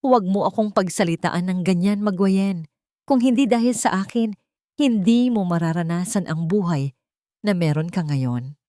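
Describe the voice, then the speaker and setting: A woman's voice: mid-range voice, normal speed, warm tone, dry recording, studio quality, lightly acted. A woman voicing a proud, corrupt male army captain in a dramatic story, defensive and indignant.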